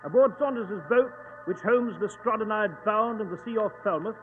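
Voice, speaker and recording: A man plays an earnest, excitable British gentleman, the detective's loyal companion, in an old radio mystery. His voice sits very high for a man. The vintage recording is thin and tinny, with noise over it. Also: brisk, lightly acted. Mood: storytelling. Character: Doctor Watson, earnest, excitable English gentleman sidekick. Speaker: actor in a radio detective drama. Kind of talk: 1940s radio acting.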